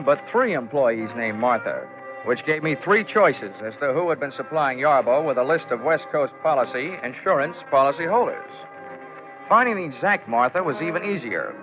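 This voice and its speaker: An actor playing a hard-boiled, wisecracking male insurance investigator in 1940s radio noir, brisk and sardonic. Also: mid-range voice, expressive, faint music behind, close to an everyday voice. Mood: wry narration. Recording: dull vintage 1949 radio recording.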